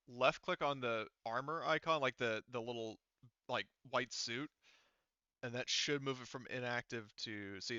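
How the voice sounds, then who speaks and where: Bright, clear-voiced man running a game. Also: mid-range voice, no echo, muffled recording. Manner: hesitant, thinking aloud while explaining. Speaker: game master. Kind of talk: casual online tabletop game talk.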